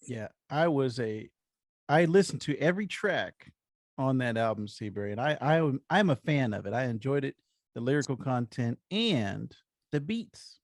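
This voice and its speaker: A man's voice: medium pitch, animated, normal speed, no echo, clean studio sound. A man co-hosting a podcast in a casual chat, with an upbeat, friendly, encouraging manner. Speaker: podcast co-host.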